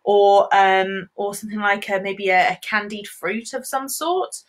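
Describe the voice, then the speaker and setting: A woman co-hosting a children's cooking demo, calmly explaining each step. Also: mid-range voice, measured pace. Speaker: children's show co-host.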